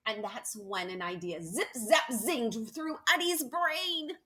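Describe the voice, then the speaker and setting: A woman's voice: on the high side, takes it slowly, breathy edge. A woman reading a picture book aloud as a teacher would, expressively, with emphatic stresses and personal asides to her young listeners. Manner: animated storytelling with emphatic stresses and playful questions to listeners.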